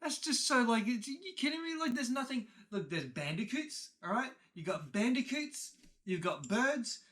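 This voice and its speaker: Guy doing his grumpy old aussie dad, voice way up, sharp, spluttering and sweary, keeps repeating himself. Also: very high-pitched, big pitch swings, brisk, pitched above the performer's own voice, heavily acted. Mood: exasperated, scoffing. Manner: spluttering, repetitive, indignant. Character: short-tempered old-school Australian father, scoffing and swearing.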